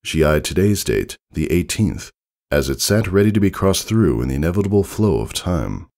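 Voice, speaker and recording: A man reading a story aloud as narrator, in an expressive, measured and dramatic storytelling manner. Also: deep voice.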